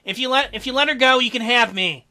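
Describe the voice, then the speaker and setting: A man's voice: extremely high pitch, fast talker, pushed and bright, pitched above the performer's own voice, full character voice. A man voicing an earnest young merman. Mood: pleading. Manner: earnest, pleading. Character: young devoted merman, wounded.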